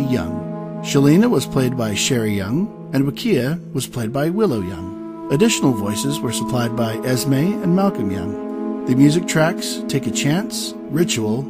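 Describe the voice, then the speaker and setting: A man's voice: medium pitch, sing-song, normal speed. A man reading scripted narration as the announcer of a show. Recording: music behind the voice.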